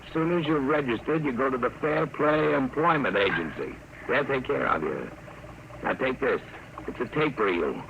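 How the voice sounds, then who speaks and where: A man's voice: medium pitch, lively intonation, moderate pace, vocal fry. A man acting a menacing, curt, cynical masked kidnapper in a bullying, sardonic 1950s radio drama style. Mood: cold, commanding. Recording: scratchy, dull 1950 radio recording.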